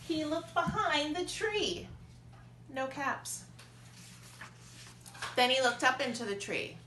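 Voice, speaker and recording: A woman preschool teacher reading a storybook aloud to young children, expressive and deliberate in her emphasis. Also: sits high. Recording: echoey room with faint noise.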